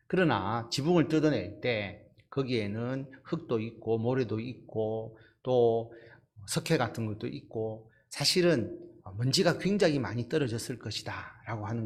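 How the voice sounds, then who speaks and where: A man speaking as a pastor, giving an expository Bible lesson in an explanatory way and putting rhetorical questions to listeners. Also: medium pitch.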